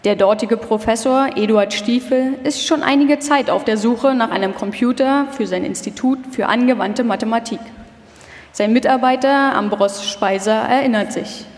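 A woman's voice: a little high, moderate pace. A woman reading scripted documentary narration as the narrator of a live radio feature. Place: large reverberant hall. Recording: long hall reverb, live performance.

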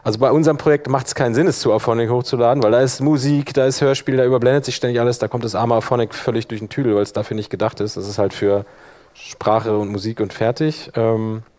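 A man presenting a live workshop, explaining software in a colloquial, hands-on way. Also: mid-range voice, fairly even intonation, fast. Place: live workshop in front of attendees.

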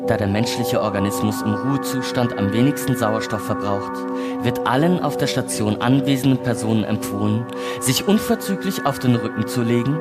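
A man's voice: mid-range voice, normal speed, close to an everyday voice. A man playing an emotionless space station computer in a science fiction radio play, announcing in precise, bureaucratic language. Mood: formal, impassive alarm. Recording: loud music and effects behind. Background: music and sound effects.

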